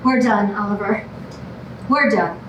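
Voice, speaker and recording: A woman's voice: medium pitch, unhurried, muffled recording, noisy background, lightly acted. A woman playing a sarcastic, fed-up girlfriend, dry and cutting, in a staged musical comedy reading. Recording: echoey room, distant mic, music behind.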